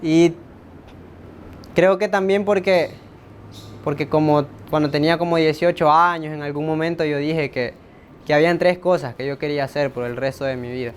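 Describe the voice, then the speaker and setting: A Salvadoran man with a slightly high voice speaks in a casual interview. He is reflective, repeating words as he shares his testimony. There is a faint low rumble behind him. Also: steady tone, normal speed.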